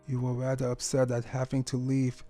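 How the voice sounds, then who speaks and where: A man voicing a gentle, loving middle-aged father pony in an audio story, speaking in an earnest, tender, measured way. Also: medium pitch, monotone, soft background music, lightly acted. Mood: regretful.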